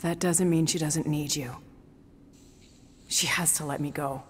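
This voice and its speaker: An actress playing the solemn, tender ghost of a dead mother in a video game, with a hushed, earnest delivery. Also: low-pitched, fairly even intonation, measured pace. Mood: urgent. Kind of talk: dramatic video game cutscene acting. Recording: long reverb, noisy ambience.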